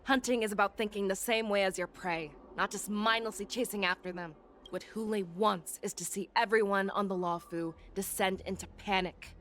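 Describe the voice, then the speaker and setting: An actress playing a composed, commanding warrior general and mentor in a video game, speaking with measured authority. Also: female voice, mid-range voice, steady tone, a bit bright, slight background noise, lightly acted. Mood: calm, calculating. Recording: game music behind.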